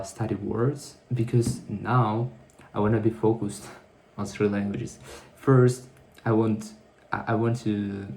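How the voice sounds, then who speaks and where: A man's voice: fairly low pitch, gravelly, a little mellow. A man talking casually to camera in a diary-style vlog, rambling and correcting himself as he thinks aloud. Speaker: vlogger. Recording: echoey room.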